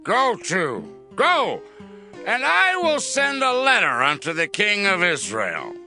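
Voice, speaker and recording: Male actor as grand commanding monarch, very sharp forceful tone, slow and wildly animated, theatrical. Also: sits high, faint music behind, full character voice. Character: imperious, grandly theatrical male king of Syria. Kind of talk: dramatized scripture reading.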